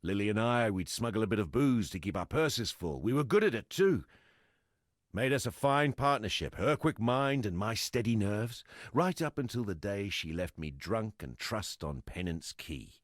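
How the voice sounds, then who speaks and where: An actor playing a gruff, world-weary ex-smuggler in a video game, blunt and rough-spoken, with a gravelly, husky voice. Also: male voice, on the low side, lively intonation, normal speed, no room sound, clean studio sound, natural voice. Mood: rueful. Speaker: game non-player character. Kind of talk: scripted video game dialogue.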